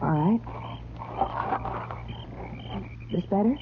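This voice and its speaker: A woman's voice: medium pitch, fast talker, close to an everyday voice. An actress playing a nervous, guarded young frontier woman in an old radio western, hesitant and evasive. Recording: tinny, hissy old radio recording.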